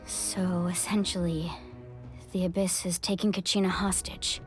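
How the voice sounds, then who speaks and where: A woman's voice: on the low side, little pitch movement, unhurried, faint music behind, close to an everyday voice. A woman playing an earnest, loyal, determined young woman in a video game, speaking with heartfelt sincerity. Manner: sincere, emotional. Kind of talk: dramatic video game acting.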